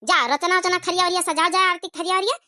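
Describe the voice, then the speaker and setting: A cartoon village mother-in-law, acted by a woman, bossy and easily flustered. She fusses in an Awadhi accent. The voice is extremely high and sharply bright. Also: steady tone, fast talker, exaggerated voice. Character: bossy, fussing older village mother-in-law welcoming guests. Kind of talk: exaggerated cartoon comedy dialogue.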